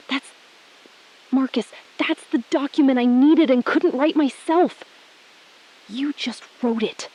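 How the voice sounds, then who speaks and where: A woman playing a resolute, shrewd astronaut in an audio drama, speaking in a controlled, deliberate way and repeating phrases for emphasis. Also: high voice, darkish tone, rough recording. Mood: firm, confronting. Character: resolute, shrewd female astronaut exposing a cover-up. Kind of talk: scripted audio drama, hushed dramatic phone-call acting.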